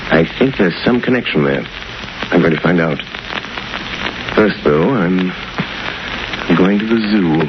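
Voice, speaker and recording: An actor playing a calm, resolute gentleman crime fighter in vintage radio drama, with measured, self-assured delivery. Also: low-pitched. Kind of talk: 1930s-40s radio drama acting. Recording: noisy, muffled vintage radio recording.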